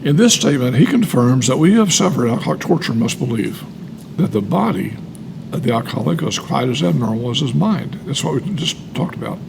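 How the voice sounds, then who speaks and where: Gravelly, animated American man, emphatic. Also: mid-range voice, fairly quick, noisy background. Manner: emphatic, repeats phrases for weight. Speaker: co-host reading and commenting on a recovery book. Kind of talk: reading aloud with personal testimony.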